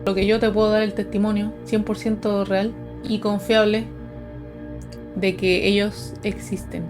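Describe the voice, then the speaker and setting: A young woman talks over clearly audible background music. Her voice sits at an average pitch for a woman. She tells her own stories informally, explaining things to viewers as a casual video host. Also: little pitch movement, measured pace. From Chile. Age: young adult. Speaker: paranormal storytelling video host. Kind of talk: casual personal storytelling to viewers.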